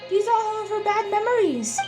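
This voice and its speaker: A woman's voice: extremely high pitch, animated, brisk. A woman reading dialogue aloud over video game play as a gameplay streamer. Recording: faint game music behind.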